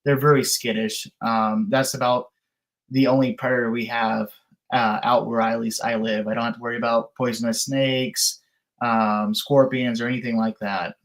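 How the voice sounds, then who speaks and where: A man's voice: medium pitch, little pitch movement, moderate pace. A man in his early thirties chatting casually as a live-stream guest, with a folksy, down-to-earth, colloquial way of talking. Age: 30s. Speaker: guest, outdoor camping creator. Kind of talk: casual live-stream chat about camping.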